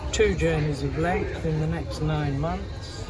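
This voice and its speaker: A man's voice: a little high, slow pace, a loud crowd. An older man giving a palm reading in clipped, matter-of-fact pronouncements, recorded outdoors. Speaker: palm reader. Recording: very noisy street, traffic and bystanders.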